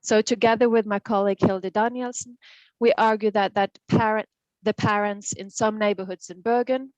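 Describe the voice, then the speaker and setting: Measured woman on a dry video call. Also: medium pitch, dry recording, poor audio. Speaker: panelist. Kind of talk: academic talk on a video call.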